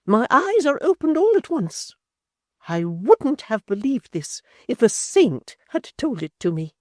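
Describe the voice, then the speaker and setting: Woman voicing a high, shrill, indignant society lady for an audiobook, slow theatrical scorn. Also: very animated, no room sound, studio quality. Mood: scornful. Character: haughty indignant middle-aged lady scolding her friend. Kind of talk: audiobook character voice.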